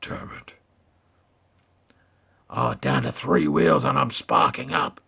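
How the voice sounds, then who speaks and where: Man, gaming commentary, raspy breathy voice, heavy gravel, slow delivery. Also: mid-range voice. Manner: excitable exclamations. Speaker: gamer.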